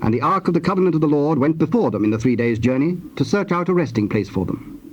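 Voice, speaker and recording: A man's voice: fairly high pitch, lively intonation. Male scripture narrator, noisy tape. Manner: measured, formal. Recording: dull noisy tape transfer.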